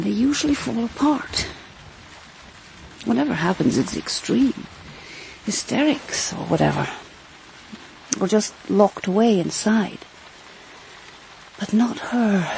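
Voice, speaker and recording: A woman's voice: mid-range voice, very animated, takes it slowly, vocal fry, long pauses, background noise, heavily acted. An actress playing an earnest, perceptive policewoman in a radio crime drama, thoughtful and a little hesitant. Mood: pensive, sad.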